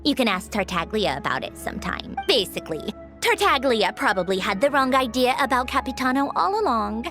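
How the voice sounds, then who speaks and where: Smug posh game villainess type, teasing and refined, very animated pitch, music behind. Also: female voice, fairly quick. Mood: confiding, composed. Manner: poised, smug, refined, faintly condescending. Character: haughty, teasing noblewoman harbinger fond of tea parties. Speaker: high-ranking official of an organization. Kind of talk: scripted video game character dialogue.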